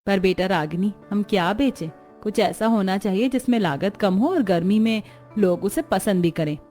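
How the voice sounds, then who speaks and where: A woman's voice: mid-range voice, animated, moderate pace, faint music behind, lightly acted. A woman voicing a firm, motherly mother-in-law. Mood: coaxing. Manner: motherly, gently commanding. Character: older Indian mother-in-law, caring, firm head of house. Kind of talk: animated story dialogue.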